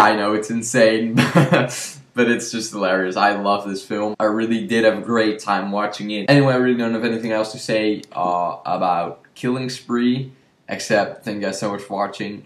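Young male video review host, casual and chatty, fairly deep, roomy home recording. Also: a bit bright. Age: young adult. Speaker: horror movie review show host. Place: small room with shelves, indoors. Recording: roomy indoor echo.

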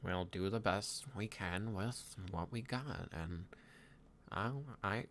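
Male performer as weary young Viking leader; nasal, fairly low, hesitant and wistful, improvised roleplay. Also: a bit bright, a little hiss or hum, full character voice. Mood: weary, tender. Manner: halting, hesitant musing with pauses. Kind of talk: improvised roleplay audio addressed to a companion.

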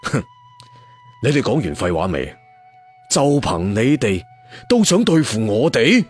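A man's voice: fairly low pitch, huge pitch swings, unhurried, slightly rough, faint music behind, full character voice. A man voicing an arrogant, scornful martial-arts villain lord in a story, sneering with contempt.

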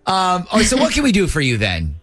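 A man's voice: high-pitched, wildly swooping pitch, fast, fairly bright tone, faint music behind. A man hosting a radio phone-in show, talking in a casual, joking way. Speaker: morning radio show host.

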